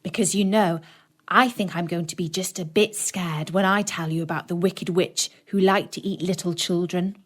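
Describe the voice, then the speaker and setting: A woman narrating a children's story expressively, gently addressing young listeners. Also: fairly low pitch, fairly even intonation, normal speed.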